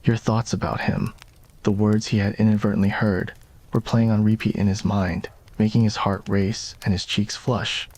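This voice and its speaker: A man's voice: fairly low pitch, level tone, moderate pace, a little mellow, poor audio. A man reading scripted narration for a romantic ASMR roleplay story.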